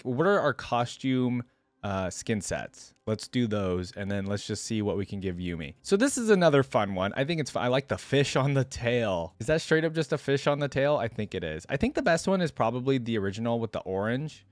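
A man's voice: mid-range voice, sing-song, brisk, no echo. A young adult man giving casual, chatty reaction commentary, thinking aloud and sharing his opinions as host. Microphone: close desktop microphone, headset on.

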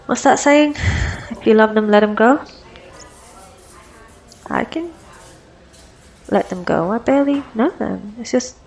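A woman's voice: medium pitch, a little hiss or hum. A woman playing an anxious, socially awkward young woman with a crush in an ASMR roleplay, stammering and rambling nervously. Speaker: audio roleplay performer. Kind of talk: ASMR girlfriend roleplay acting.

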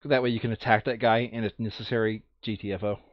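A man's voice: lowish voice, steady tone, quick pace, dry recording, highs cut off. A man running a board game playthrough in casual, crude, joking chat, now and then reading game text aloud. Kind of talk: casual gaming chat with bits of read-aloud flavour text.

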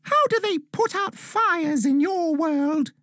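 A tiny, excitable male elf squeaks his lines far above any normal speaking voice. He calls out urgently and brightly, like a storybook sidekick. The delivery is slow and clear, recorded close and dry. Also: dry recording, heavily acted.

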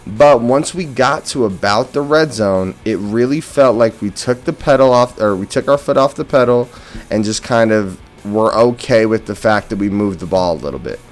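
A man's voice: on the low side, moderate pace. An American man giving casual post-game football commentary as a fan and host, opinionated and emphatic on key words. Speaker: sports podcast host and fan. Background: background music.

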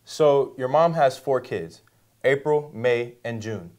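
Teen boy anchor, reads scripted news lines in a friendly tone, mid pitch. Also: slow, a little mellow. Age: teens. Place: school studio set.

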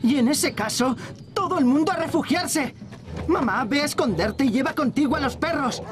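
A man's voice: very high-pitched, lively intonation, normal speed, background noise. An actor playing an eager, clever young man in a dubbed cartoon, speaking earnestly. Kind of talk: Spanish cartoon dub. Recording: cartoon with music behind.

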